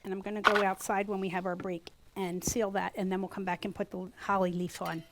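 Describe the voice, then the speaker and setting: A woman with a US East Coast accent teaching a gourd craft class live to a small group, talking loudly and chattily. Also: mid-range voice, fairly quick, noisy background. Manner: loud, chatty, animated. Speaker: gourd art instructor. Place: workshop room with a small audience.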